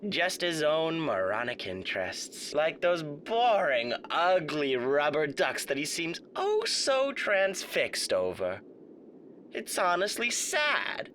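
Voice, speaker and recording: Condescending menacing demon villain, slow taunting theatrical roleplay, kinda high for a male voice, faint rumble behind. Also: sing-song, a bit bright, heavily acted. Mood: contemptuous. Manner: mock-polite, pompous, drawn-out, taunting delivery. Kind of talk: theatrical roleplay monologue to the listener.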